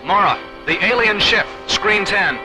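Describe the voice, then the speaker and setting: Casting: jittery station tech, high-pitched, lively intonation, music underneath. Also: male voice, unhurried, low-quality recording. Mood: alert. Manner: urgent, excitable. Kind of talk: radio drama acting. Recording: dramatic music behind.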